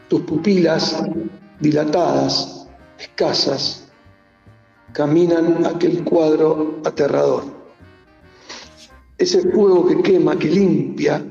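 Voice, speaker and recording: A man from Argentina reciting his poetry live, in measured short phrases with pauses. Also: on the high side, creaky, a little mellow. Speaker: poet reading his own work. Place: on stage in a theatre. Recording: muffled, echoey theatre hall recording.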